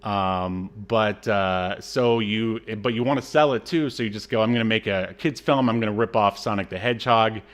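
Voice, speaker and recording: A man's voice: fairly low pitch, moderate pace, few pauses. A man co-hosting a casual film discussion podcast, talking in a hesitant, rambling, sardonic way. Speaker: podcast co-host.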